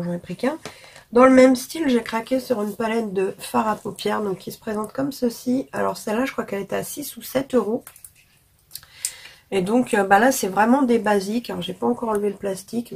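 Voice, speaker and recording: A woman vlogger, hoarse from being sick, chatting frankly and casually about cheap gadgets and makeup to her viewers with many fillers. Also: medium pitch. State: hoarse from illness. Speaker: beauty vlogger. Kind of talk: casual haul chat to camera.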